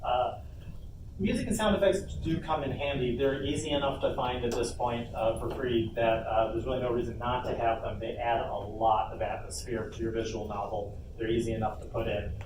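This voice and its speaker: A man hosting an informal convention workshop, speaking casually with hesitant pauses as he explains things to the room. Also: medium pitch, steady tone. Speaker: panel host and presenter. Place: indoor convention panel room. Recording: roomy, distant mic, noisy convention room.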